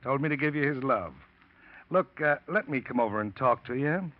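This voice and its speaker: A man's voice: medium pitch, expressive, moderate pace, lightly acted. An actor playing a scheming man who speaks in falsely soothing, hesitant tones in a 1940s radio drama. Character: scheming man poisoning his partner. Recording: dull old radio recording.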